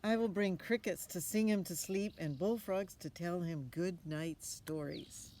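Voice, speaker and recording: A woman's voice: on the low side, measured pace, mellow. An eager young storybook boy, acted out by an older woman reader. He is earnest and emphatic, confident in his answers. There is some outdoor noise behind the voice. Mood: eager, assured. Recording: outdoor ambience with noticeable background noise.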